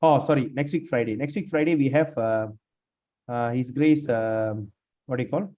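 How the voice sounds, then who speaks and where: Guy teaching a class, voice kinda shot, mellow and dark, muffled lo-fi sound, lots of uh and repeats. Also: medium pitch. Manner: halting, many fillers and repeats. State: lost his voice, sprained neck. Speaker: class leader. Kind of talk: scripture class talk. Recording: dull lo-fi room recording.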